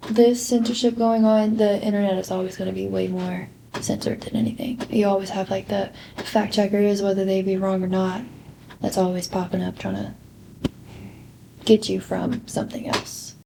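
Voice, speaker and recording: A woman's voice: mid-range voice, flat delivery, normal speed, vocal fry, background noise. A woman in her twenties giving her opinion in casual chat, full of "like" fillers. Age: 20s. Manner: chatty, many "like" fillers. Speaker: interviewee.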